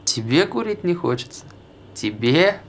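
A man's voice: fairly high pitch, big pitch swings, a little slow, a little hiss or hum. An actor playing a mild, slightly priggish ordinary man in a naturalistic stage drama, speaking plainly and conversationally. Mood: puzzled, needling.

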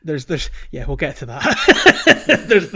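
A man's voice: a little high, huge pitch swings, takes it slowly, sharp tone, breathy edge. A man co-hosting a casual video game podcast, talking in a hesitant way as he searches for his words. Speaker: podcast co-host.

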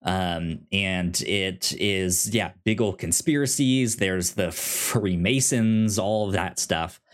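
A man co-hosting a casual chat and giving recommendations in an easygoing, halting way, as if thinking aloud. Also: low voice. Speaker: podcast co-host.

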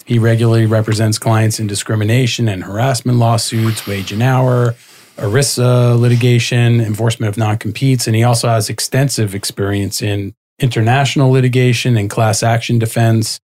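A man's voice: medium pitch, steady tone, moderate pace, darkish tone. A man co-hosting a podcast, introducing a guest and interviewing him in an upbeat, friendly way. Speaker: podcast co-host.

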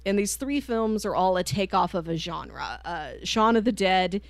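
A woman's voice: mid-range voice, expressive, moderate pace, vocal fry, no room sound. A woman co-hosting a podcast in casual chat, with an enthusiastic, chatty manner. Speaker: podcast co-host. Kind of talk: casual chat, pitching films to co-host.

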